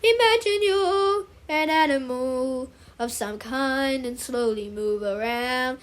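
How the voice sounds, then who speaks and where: A woman voicing a gushing drama teacher who coaches children in a drawn-out, theatrical, sing-song way, as part of a children's story. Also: sits high. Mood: gushing, soothing.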